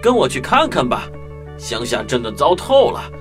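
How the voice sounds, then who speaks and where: Woman putting on a fussy, animated city mouse. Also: extremely low pitch, normal speed, faint music behind. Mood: disdainful, boastful. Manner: complaining, haughty, exclamatory. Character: fussy, boastful male city mouse cousin. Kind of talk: children's storybook audio drama.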